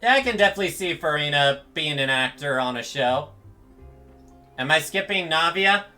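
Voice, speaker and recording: A man's voice: a little high, animated, normal speed, pushed and bright. A man giving casual live commentary on a video game and chatting with viewers. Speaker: streamer reacting to a video game. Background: game music.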